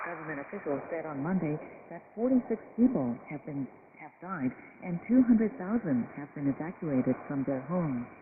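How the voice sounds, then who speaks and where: Female newsreader through noisy shortwave static. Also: low voice. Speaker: radio news anchor. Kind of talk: formal scripted news reading. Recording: noisy, narrowband shortwave radio reception.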